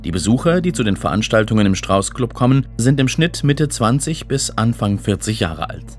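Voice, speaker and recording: A man with a fairly low voice reads scripted documentary narration. He speaks as a radio narrator, with music clearly playing behind him. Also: big pitch swings, moderate pace. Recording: music under the voice.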